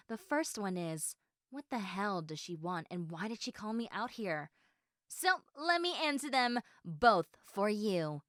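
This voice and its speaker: A woman's voice: a little high, no room sound, clean studio sound. A woman playing a quirky, socially awkward, chatty young woman eager for friends, rambling and self-deprecating straight to the listener in an audio roleplay. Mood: playful, welcoming. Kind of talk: one-sided audio roleplay addressed to the listener.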